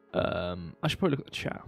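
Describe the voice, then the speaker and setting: A man's voice: mid-range voice, vocal fry, husky, dry recording. A male livestream host speaks casually into a close, dry microphone with faint music behind him. His voice is a little stuffy and nasal. He rambles through market commentary, drifting into self-deprecating asides. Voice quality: slightly nasal, stuffy nose.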